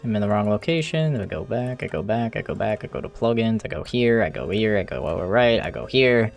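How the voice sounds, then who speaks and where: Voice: mid-range voice, lively intonation, creaky. Streamer muttering over music. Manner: thinking aloud, halting, muttering to self. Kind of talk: casual live coding commentary. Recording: music playing behind the voice.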